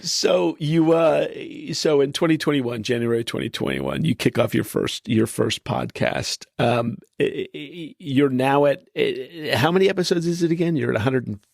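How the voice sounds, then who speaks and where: A man with a gravelly, mid-pitched voice co-hosts a podcast chat, joking and asking halting questions. The sound is dry and close to the mic. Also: dry recording. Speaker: podcast co-host. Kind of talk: casual podcast interview.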